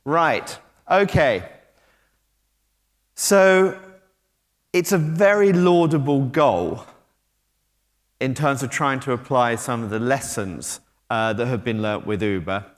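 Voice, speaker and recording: British man, middle-aged, medium pitch, conference speaker, chatty with ums, echoing hall. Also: slow, long pauses. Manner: conversational, hesitant with frequent ums and restarts. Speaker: conference speaker, technology company head. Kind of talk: conference keynote talk. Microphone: stage mic, moving about while talking. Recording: long hall reverb.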